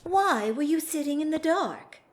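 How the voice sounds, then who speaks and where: A woman performs a genteel, hesitant lady of rank in an audio drama. Her high voice swings widely in melody while keeping a very slow pace. She sounds formal, proper and mildly reproving. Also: animated, a little hiss or hum. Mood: hesitant, polite. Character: proper, hesitant aristocratic lady, a married hostess. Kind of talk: stage-style audio drama acting.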